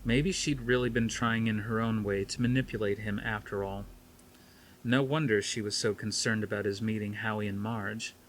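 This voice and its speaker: A man's voice: lowish voice, steady tone, normal speed, a little hiss or hum, low-quality recording. A man reading a novel aloud as scripted audiobook narration. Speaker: audiobook reader.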